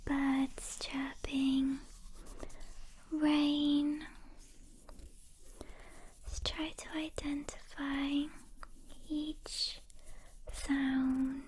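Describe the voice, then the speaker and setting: Very slow whispering woman, ASMR meditation. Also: high-pitched, flat delivery, a little hiss or hum. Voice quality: soft, breathy whisper. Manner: gentle, soothing, with long pauses between short phrases. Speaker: ASMR guided meditation host. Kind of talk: soft-spoken ASMR guided meditation. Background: soft hand sounds and clicks.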